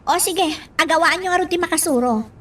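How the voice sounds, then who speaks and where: A woman's voice: extremely high pitch, a little fast, fairly bright tone, recorded from a distance. A Filipino woman playing a young, weary helper in a radio drama, coaxing and complaining.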